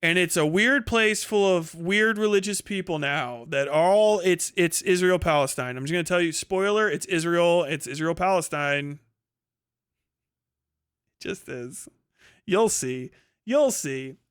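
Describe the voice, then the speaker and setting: A man's voice: high voice, animated, unhurried, fairly bright tone, clear, resonant tone, no room sound, clean studio sound. A man giving a casual, ranting review monologue as a livestream host, blunt, profane and exasperated, repeating points for emphasis.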